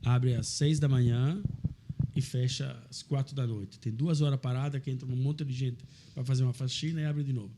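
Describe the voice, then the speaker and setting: An Italian man of middle age, a restaurant owner telling his story in a casual chat, animated and laughing as he talks. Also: mid-range voice, normal speed, a little hiss or hum. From Italy.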